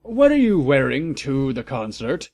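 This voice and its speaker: A man's voice: mid-range voice, wildly swooping pitch, unhurried, a little mellow, full character voice. A man voicing an old wizard headmaster with a casual, matter-of-fact manner in a comedic story reading.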